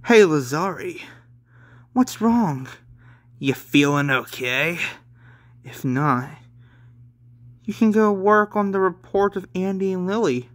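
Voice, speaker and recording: A man's voice: high-pitched, animated, slow pace, long pauses, voice pushed up. A man voicing a forty-year-old captain in a manga story, solicitous and reassuring. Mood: concerned, reassuring.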